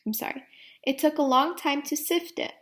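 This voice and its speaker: A woman reading a children's storybook aloud like a teacher, deliberately, putting questions to young listeners. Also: sits high, fairly bright tone.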